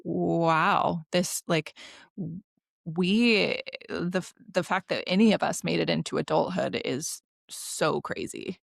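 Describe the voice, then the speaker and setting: A woman co-hosting a podcast, chatting casually in a halting, slangy way full of likes and ums. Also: lowish voice, creaky, dry recording, studio quality. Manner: halting, filler-heavy, slangy conversational delivery. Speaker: podcast co-host.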